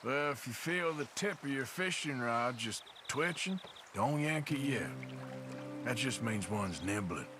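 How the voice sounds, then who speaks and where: Male actor as a slow-spoken outlaw, middle-aged, lively lilt, game score behind. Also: mid-range voice, close to an everyday voice. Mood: easygoing. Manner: easygoing drawl, patient and plainspoken. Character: weary middle-aged outlaw cowboy, gentle with a child. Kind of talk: video game cutscene acting. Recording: game audio with music underneath.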